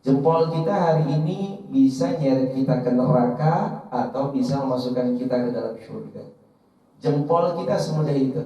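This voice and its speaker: Mellow-voiced male preacher in echoey room. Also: mid-range voice. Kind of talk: sermon to an audience, quoting and translating Arabic scripture. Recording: roomy echo, distant mic, slightly dull.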